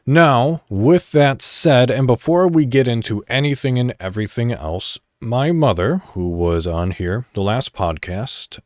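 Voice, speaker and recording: A man hosting a podcast alone, talking casually to his listeners in deliberate, broken-up phrases. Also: medium pitch, lively intonation, darkish tone, no room sound, clean studio sound. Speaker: podcast host. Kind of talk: solo podcast monologue, casual talk to listeners.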